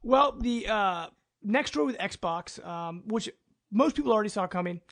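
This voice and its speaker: High-pitched, creaky-voiced man hosting a podcast. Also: lively intonation, moderate pace, fairly bright tone. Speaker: podcast host. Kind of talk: casual podcast chat.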